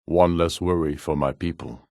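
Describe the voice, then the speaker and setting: A man playing a proud, commanding rebel jarl in a fantasy video game, speaking with formal, measured authority. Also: a bass voice, steady tone, vocal fry, darkish tone, no room sound, studio quality. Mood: grave, businesslike.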